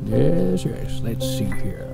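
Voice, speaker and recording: A man's voice: medium pitch, sing-song, slow pace, vocal fry, heavily acted. An actor playing an obsessive retired male doctor in an audio drama, speaking in a clipped manner. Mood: obsessed. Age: older. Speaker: retired scholar. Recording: music score underneath.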